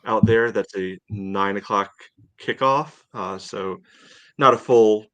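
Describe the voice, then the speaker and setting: A middle-aged man hosting a casual sports podcast, meandering and halting, often padding his sentences with filler phrases. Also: lowish voice, a bit bright, no room sound. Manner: halting, meandering delivery with frequent "you know". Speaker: podcast host.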